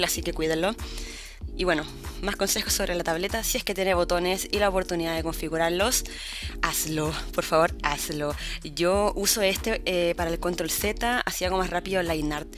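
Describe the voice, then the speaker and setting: A young woman with a Chilean accent, chatting her way through a relaxed digital art tutorial as the channel's host, often restarting her words. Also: lowish voice. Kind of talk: casual tutorial narration.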